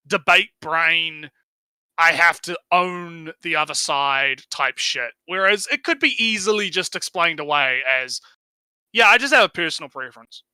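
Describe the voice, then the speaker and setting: A man's voice: on the high side, bright, forceful tone, smooth, no echo, poor audio. A man hosting a reaction video, chatting casually with frequent repeats, filler words and swearing. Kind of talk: casual opinion chat.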